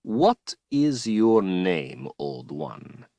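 A man's voice: low-pitched, very animated, extremely slow, dark tone, dry recording, dull audio, full character voice. A man voicing a cruel male tyrant who speaks in a silky, taunting, menacing way. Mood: soft menace.

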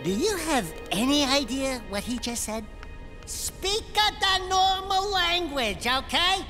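An actor voicing a cocky, whiny, wisecracking small furry sidekick in an animated video game. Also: male voice, very high-pitched, slow pace, heavily acted. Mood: brash. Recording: loud music behind. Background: loud game music.

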